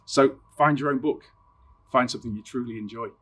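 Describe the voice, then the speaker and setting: A middle-aged man speaks with a husky edge at a medium pitch. He sounds like a teacher introducing and reading a favourite storybook, leaning on key words with expressive, dramatic emphasis. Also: a little fast. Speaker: science teacher reading a favourite book aloud.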